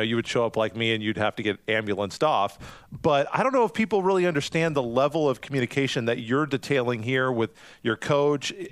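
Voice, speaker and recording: A man's voice: mid-range voice, dry recording. A man hosting an interview, asking long, rambling questions with self-deprecating asides. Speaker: podcast host. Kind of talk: interviewing a guest.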